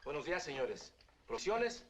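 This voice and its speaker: Eager shopkeeper, highish lively voice, old film sound with faint noise. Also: male voice, fairly quick. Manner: animated sales patter. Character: eager Mexican male shopkeeper hawking his wares. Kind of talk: 1950s film acting.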